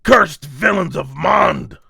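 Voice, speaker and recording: A man's voice: fairly high pitch, lively intonation, takes it slowly, vocal fry, sharp tone, slightly rough, dry recording, clean studio sound, pitched above the performer's own voice, heavily acted. A man voicing a cowardly, pompous henchman in a manga voice-over, frantic and blustering. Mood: panicked outrage. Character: cowardly, corrupt henchman, pompous then pleading in panic. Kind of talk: amateur manga voice-over acting.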